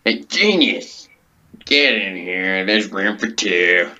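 A man playing a brash, dim, impatient comedy show host, blunt and exclamatory, quick to dismiss people. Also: lowish voice, lively intonation, sharp tone, highs cut off, exaggerated voice.

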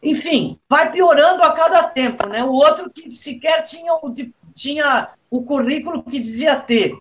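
A woman's voice: high-pitched, normal speed, poor audio. A woman with a Brazilian accent speaking as a panelist in a political discussion, with an ironic way of talking.

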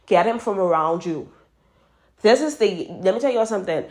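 A woman's voice: mid-range voice, animated, moderate pace. A Bahamian woman in her twenties with a Bahamian accent gives emphatic dating advice straight to her listeners and repeats words for stress. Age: 20s. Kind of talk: casual advice monologue.

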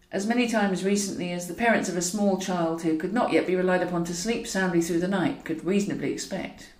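A woman narrates a novel in a wry storytelling manner. Her voice sits at a middling pitch. The recording is roomy and echoing, with her some way from the microphone. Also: a little mellow. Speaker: author reading own audiobook. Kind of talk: scripted fiction narration. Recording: roomy echo, distant from mic.

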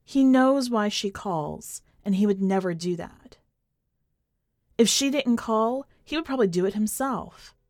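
A woman reading a short story in an expressive, scripted narration style. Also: medium pitch, unhurried, no echo, clean studio sound. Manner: expressive, acts out dialogue.

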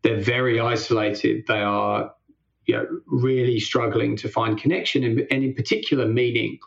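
Gravelly man asking a long, hedging question. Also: medium pitch, steady tone, normal speed, lo-fi sound. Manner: rambling, hedging with many fillers. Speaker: interviewer. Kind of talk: conversational interview.

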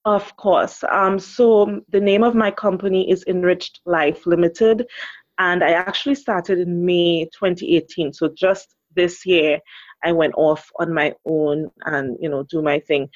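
A woman's voice: fairly low pitch, dry recording, muffled recording. A woman in her thirties from Trinidad and Tobago with a Trinidadian accent, speaking as a guest relationship educator in a thoughtful interview conversation.

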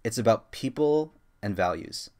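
A man in his thirties with a somewhat low voice, chatting on a casual podcast. He rambles eagerly, restarting his sentences and filling pauses with "um" and "like.". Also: very animated, darkish tone. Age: 30s. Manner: rambling, enthusiastic, many fillers and restarts. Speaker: podcast co-host.

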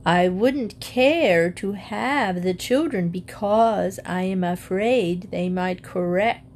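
A woman's voice: medium pitch, a little hiss or hum. Prim storybook mother, condescending, each vowel polished, a woman puts her on. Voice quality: precise, over-enunciated. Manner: slow and condescending. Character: prim, self-satisfied mother who prizes correct speech.

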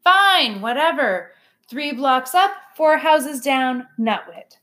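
A woman voicing a feisty young female dog who bickers with her brother, with sassy, quick retorts in a storybook reading. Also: high-pitched, expressive, sharp tone, voice pushed up, heavily acted. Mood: grudging.

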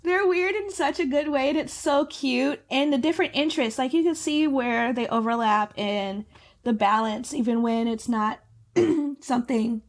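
A woman co-hosting a podcast in casual chat, slightly hoarse, laughing often and filling her talk with "like". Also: sits high, normal speed. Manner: laughing, chatty, lots of "like" fillers. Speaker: podcast co-host.

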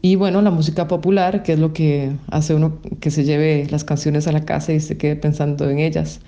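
Woman from Costa Rica, a musician guest, mellow and thoughtful, lo-fi remote audio. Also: sits low, little pitch movement, moderate pace. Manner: thoughtful, with frequent hesitation sounds. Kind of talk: casual interview answers.

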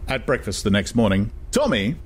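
A man narrating a dramatized audiobook in a measured, literary manner. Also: sits low, slightly rough.

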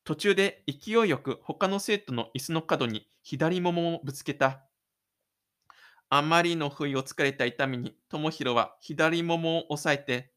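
A man's voice: on the high side, a bit bright. A man reading scripted third-person narration for an audio drama in a dramatic storytelling manner. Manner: dramatic storytelling with spoken sound effects.

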